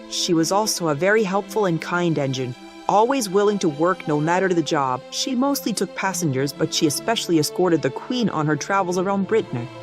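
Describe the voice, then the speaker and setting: A man voicing a kindly steam engine in a cartoon dub, telling an old legend in a measured, earnest way. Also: sits high. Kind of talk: comic dub cartoon storytelling.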